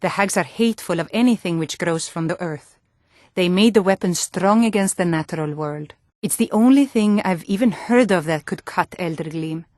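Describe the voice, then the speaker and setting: An actress playing a sturdy, earnest Nord woman in a fantasy video game, with clear, deliberate delivery. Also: on the low side, a little mellow, dry recording, studio quality, close to an everyday voice.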